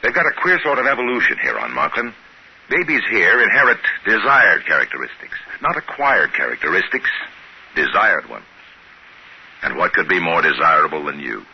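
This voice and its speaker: An American actor playing a smooth-talking, decisive trading-post boss in a 1950s radio drama. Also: male voice, deep voice, sing-song, normal speed, lo-fi sound, full character voice. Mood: wry, soothing. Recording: hissy band-limited 1950s radio recording.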